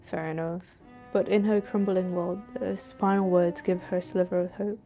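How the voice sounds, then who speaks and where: A woman's voice: fairly low pitch, fairly even intonation, normal speed, mellow, dull audio. Woman streamer reading a story game aloud. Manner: switches between archaic scripted narration and offhand reactions. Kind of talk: reading game dialogue aloud with casual commentary. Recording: faint game music behind. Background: game soundtrack.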